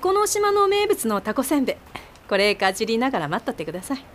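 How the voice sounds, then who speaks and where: An actress in a radio drama playing a brisk, kindly, bossy island innkeeper with a Mikawa accent from Aichi. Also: female voice, on the high side, big pitch swings, a little hiss or hum. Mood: helpful. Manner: brisk, homely, nudging. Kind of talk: Japanese radio drama acting.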